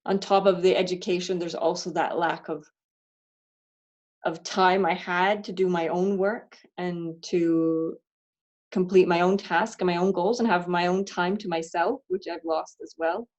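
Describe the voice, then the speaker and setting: Lowish voice, a mom venting about stuff, candid, kinda halting, works through it out loud. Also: female voice, dull audio. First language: not German. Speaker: parent doing the worksheet. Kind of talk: reflective self-inquiry dialogue.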